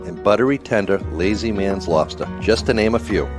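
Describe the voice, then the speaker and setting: A man's voice: fairly low pitch, brisk. A man reading a scripted radio ad as a restaurant owner. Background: music bed.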